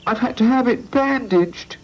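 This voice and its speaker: A man voicing a young male character who is sorry for himself, in a very high, plaintive voice, on a lo-fi storybook recording. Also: a little slow. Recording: lo-fi old recording.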